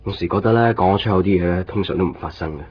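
Man acting chill caring young hk cantonese guy, low voice, chatty radio drama, bit muffled. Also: rapid-fire, a little hiss or hum, close to an everyday voice. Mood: hopeful. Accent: Hong Kong Cantonese. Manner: casual, chatty. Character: easygoing, caring young copy-shop boyfriend. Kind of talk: naturalistic radio drama dialogue. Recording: dull radio-drama recording, faint music.

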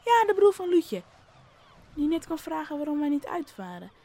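A woman's voice: high-pitched, sing-song, moderate pace, warm tone. A woman playing a cocksure young fisherman's son, bossy and teasing, in a Dutch radio play.

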